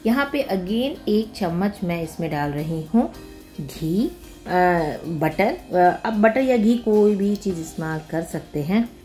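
A woman's voice: mid-range voice, animated, slow, dark tone. A woman with an Indian accent narrating a recipe step by step as a cooking host, informally and with English words mixed in. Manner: mixes English words and phrases into her talk. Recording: music behind the voice. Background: background music.

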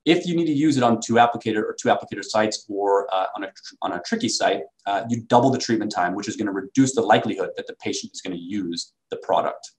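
Guy with a lowish voice doing a company sales pitch over slides on a video call. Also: quick pace, poor audio. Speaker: medical device company presenter.

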